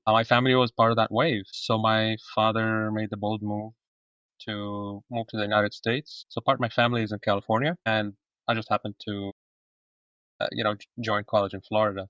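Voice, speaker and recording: Male guest in his forties, from Egypt, low voice, slightly dull remote audio, relaxed and chatty. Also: dry recording. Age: 40s. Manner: relaxed, open, with frequent fillers. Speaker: podcast guest. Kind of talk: casual conversation.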